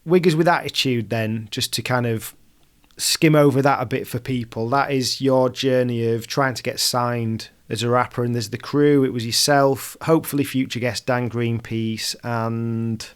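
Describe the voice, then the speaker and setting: A man's voice: medium pitch, fairly even intonation, normal speed, clean tone. A man with a Yorkshire accent, hosting a casual interview in a conversational way. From West Yorkshire, England. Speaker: podcast host.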